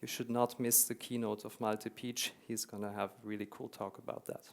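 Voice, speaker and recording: A man's voice: fairly low pitch, little pitch movement, a little fast, creaky, slight background noise. A man from Hungary who grew up in Germany, speaking with a German accent as he gives a conference keynote in a hall, earnest and explanatory, repeating words for emphasis. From Hungary, raised in Germany.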